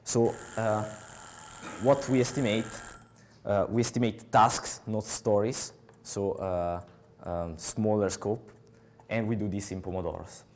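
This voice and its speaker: A young man with an Italian accent giving a technical talk at a conference, pausing often with filler sounds, on a headset mic in a large hall. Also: on the low side, fairly even intonation, a little mellow, a little hiss or hum. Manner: halting, many filler uhs. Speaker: conference speaker.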